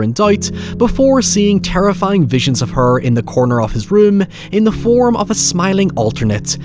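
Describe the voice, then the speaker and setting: A man hosting a video essay, narrating scripted commentary in an articulate, measured way with wry asides. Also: on the high side. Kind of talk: scripted narration, film and horror retrospective commentary.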